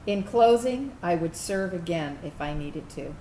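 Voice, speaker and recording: A woman's voice: lively intonation, measured pace, warm tone. A woman giving a scripted staged reading as a proud LGBT veteran looking back on service. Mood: earnest. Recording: echoey room, low rumbling noise.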